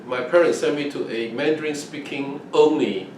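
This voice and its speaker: A man's voice: on the high side, moderate pace. An older man from Hong Kong, whose first language is Fujianese, recalling his early life in an oral history interview, with many restarts and repeated words. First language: Fujianese (Minnan). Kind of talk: casual recollection. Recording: echoey room, mic at a distance, faint noise.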